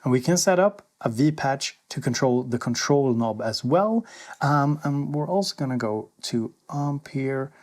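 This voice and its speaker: A man's voice: mid-range voice, lively intonation, measured pace, a little mellow. A man presenting a casual hands-on gear demo, thinking aloud in an informal way as he goes. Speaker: synth reviewer and presenter. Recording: synthesizer music playing alongside voice. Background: synthesizer sounds.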